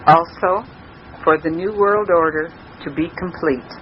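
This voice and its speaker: High-voiced American man on noisy shortwave radio. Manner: deliberate, emphatic, halting short phrases. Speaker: speaker on a political radio broadcast. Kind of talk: earnest prepared radio lecture on political warnings. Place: radio broadcast over shortwave. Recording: dull, noisy 1990s shortwave radio recording.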